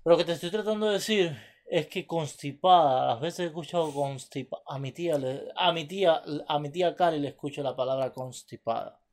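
A man's voice: fairly high pitch, fairly quick. A man hosting a podcast in casual chat, teasing and talking over his co-host.

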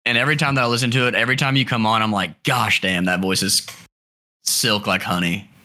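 A young man with a deep, throaty voice and a bright, forceful edge, chatting loosely on a podcast. He swears casually and laughs constantly, voice sitting low in his chest with a hint of bro swagger. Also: brisk. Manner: laughs often, swears freely, animated. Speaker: podcast co-host. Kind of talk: casual chat.

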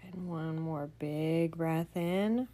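A woman leading a children's bedtime meditation in a soothing, gentle manner, often dropping to a breathy near-whisper. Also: deep voice, extremely slow, slight background noise. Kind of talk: guided bedtime meditation for kids.

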